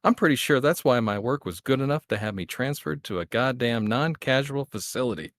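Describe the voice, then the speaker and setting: A man's voice: medium pitch, lively intonation, fairly quick, no echo, natural voice. A man playing a grumpy, sarcastic, caffeine-starved agent who swears freely, in an audio drama table read. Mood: exasperated grumbling. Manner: exasperated, sarcastic. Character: grumpy caffeine-deprived male agent, intensely focused, sweary.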